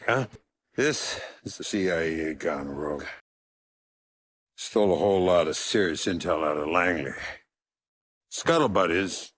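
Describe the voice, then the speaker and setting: A grizzled veteran secret agent from a video game, with an extremely low, raspy voice. He is middle-aged and sardonic, delivering threats and dry wit in the same deadpan way. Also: heavily acted. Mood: terse, businesslike. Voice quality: gravelly, husky. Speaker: video game lead character. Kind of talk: dramatic video game acting.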